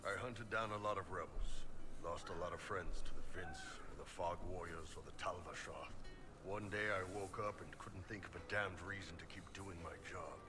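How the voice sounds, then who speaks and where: A deep, gruff video game warrior acted by a man talks in a blunt, laconic way. He is a huge horned mercenary with a spy's past and a wry streak, heard over clear background music. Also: normal speed, close to an everyday voice. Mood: grim, candid.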